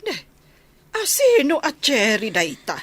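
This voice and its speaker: An actress plays a possessive middle-aged mother in a radio-style drama. Her voice sits fairly high, and she scolds and questions sharply. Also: huge pitch swings, measured pace, no room sound, heavily acted. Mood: scolding, probing.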